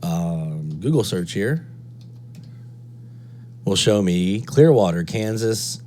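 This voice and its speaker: A man's voice: low-pitched, lively intonation, takes it slowly, darkish tone. A man presenting a casual screen-walkthrough tutorial, thinking aloud step by step. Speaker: presenter of a radio DXing tutorial.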